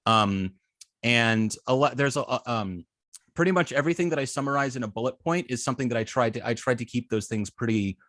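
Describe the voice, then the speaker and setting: A man teaching informally as an instructor, explaining in a halting, hesitant way with many filled pauses and false starts. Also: medium pitch, a bit bright, no echo, clean studio sound. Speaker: web design instructor.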